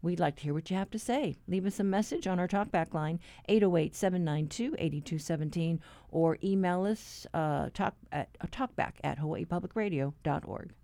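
A woman's voice: fairly low pitch, moderate pace, mellow, no room sound. A woman hosting a public radio program in a conversational manner on a studio microphone. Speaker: radio host. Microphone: close studio microphone.

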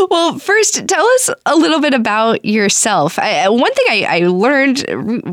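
A woman hosting a radio interview, her pitch moving up and down a lot as she talks. She is friendly, laughs easily and pokes fun at herself. The sound is dry and close, from a studio microphone. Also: very animated, a little slow, fairly bright tone, no echo. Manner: friendly, laughs often, self-deprecating. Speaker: radio host.

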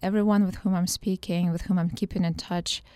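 A woman from Ukraine, a political science professor, answering radio interview questions thoughtfully with frequent pauses and fillers. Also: on the low side, level tone, warm tone, clear, resonant tone, dry recording. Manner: thoughtful, with many hesitations and fillers.

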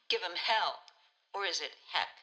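A woman's voice: fairly low pitch, animated, slow, pushed and bright, heavily acted, low-quality recording. A woman playing a wry, teasing social services worker in a community radio play. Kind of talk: community radio drama acting.

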